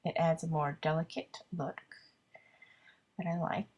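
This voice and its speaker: Husky, congested woman chatting through a craft project. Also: low voice, fairly even intonation, a little slow, darkish tone, lo-fi sound. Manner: chatty, self-deprecating, thinking aloud with many ums. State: congested with a cold. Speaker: card-making crafter. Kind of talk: casual craft video narration.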